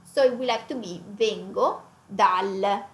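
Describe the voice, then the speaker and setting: A woman's voice: medium pitch, a little slow. A woman with an Italian accent teaching a language lesson conversationally, repeating phrases and breaking them down step by step in an echoey room.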